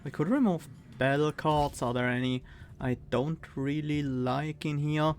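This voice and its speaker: Unhurried Swiss-accented man, faint music. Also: on the high side. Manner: slow, thinking aloud with pauses and fillers. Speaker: streamer. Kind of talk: casual gameplay commentary.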